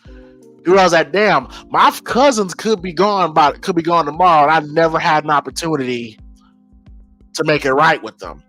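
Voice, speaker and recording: A man's voice: a little high, moderate pace, bright, forceful tone, soft background music, rough recording. An American man giving casual, emphatic opinion commentary as a podcast host, informal and often repeating phrases as he thinks aloud.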